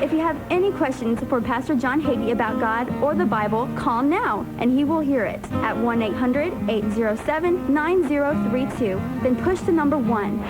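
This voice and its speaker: A woman's voice: high-pitched, poor audio. A woman announcer reading a scripted promotional call-in announcement. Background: loud music.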